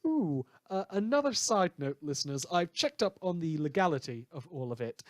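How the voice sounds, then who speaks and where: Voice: medium pitch, animated, moderate pace, no echo, clean studio sound, natural voice. A performer playing a chatty, wry radio news host in a superhero world, theatrical and teasing, rambling and correcting themself in a fan audio drama. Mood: amused, gossipy.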